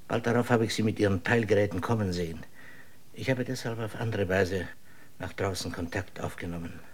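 Husky, grave male dissident. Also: lowish voice. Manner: measured, deliberate, serious. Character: grave, cautious male dissident scientist planning escape. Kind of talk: German radio drama acting.

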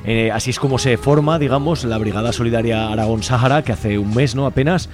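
A man's voice: mid-range voice, fast talker, music in the background. A man hosting a radio interview, often restarting words and adding tag questions. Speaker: radio host.

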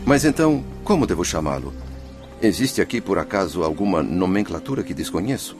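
An anguished, deferential low-voiced penitent. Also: male voice, sing-song, a little fast. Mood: deferential, questioning. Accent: Brazilian. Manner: deferential, emotional, imploring, hesitant. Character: anguished, pleading male suicide's spirit, humble devoted father. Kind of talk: radio drama acting. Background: music.

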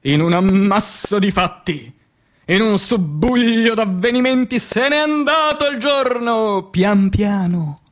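A man reciting poetry as a stage actor, in a dramatic, declamatory and solemn manner with long pauses. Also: high voice. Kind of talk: dramatic theatrical poetry recitation for radio theatre.

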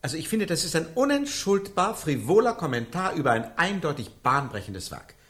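A man's voice: on the high side, sing-song, brisk, a bit bright. A man playing an earnest, pretentious art critic in a radio play, speaking in an effusive, rhetorical manner. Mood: gushing. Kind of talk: radio play panel discussion.

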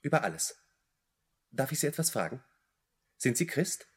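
Pompous, husky young idler. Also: male voice, medium pitch, big pitch swings, moderate pace. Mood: earnest, probing. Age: young adult. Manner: pompous, argumentative. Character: idle, contrary young dropout, brooding and self-important. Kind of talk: German radio play acting.

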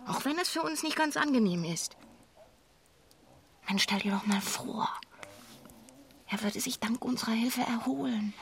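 An actress playing a middle-aged wife, an urgent, persuasive and self-righteous idealist, in a naturalistic radio drama. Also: female voice, fairly high pitch, a bit bright, slight background noise. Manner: insistent, gushing, halting repeats for emphasis.

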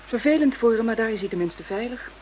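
A woman's voice: a little high, lively intonation, quick pace, lo-fi sound, lightly acted. An actress playing an assured, practical woman psychic in a Dutch radio drama, speaking matter-of-factly. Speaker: voice actor. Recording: faintly noisy old radio drama recording.

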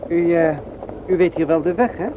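A somewhat high-pitched man, played by an actor, speaks as a shy, awkward widower. He is courteous and hesitant, in an old radio play with clear background noise. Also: level tone, normal speed, narrow, tinny audio, close to an everyday voice. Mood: polite, awkward. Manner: hesitant, stammering, formal. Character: shy, awkward, polite widower on a blind date. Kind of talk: Dutch radio play acting. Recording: noisy old radio recording.

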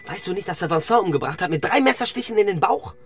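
An actor playing a defiant but insecure young petty thief, sullen and slangy, in a German crime radio play. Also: male voice, high-pitched, lively intonation, quick pace, soft background music. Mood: bitter urgency.

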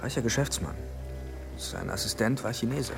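Cynical newspaperman, low pitch, wry colloquial delivery, radio drama, clear music bed. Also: moderate pace. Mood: wry, confiding. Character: cynical, sardonic male big-city newspaper journalist. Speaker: actor. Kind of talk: naturalistic German radio drama dialogue.

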